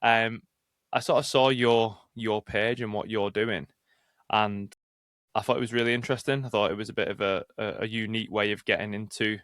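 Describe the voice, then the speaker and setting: A man hosting a podcast interview, speaking in a halting way with many restarts. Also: on the low side, steady tone, fairly bright tone, no echo, studio quality. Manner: halting, repeats words and restarts. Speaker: podcast host, personal trainer. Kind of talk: casual interview chat.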